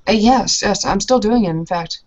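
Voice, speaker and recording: A woman's voice: medium pitch, moderate pace, darkish tone. A woman in a tabletop roleplaying game, switching between speaking as her character and narrating what she does, hesitant and full of ums. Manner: hesitant, with many ums and uhs. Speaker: tabletop player. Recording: muffled lo-fi recording.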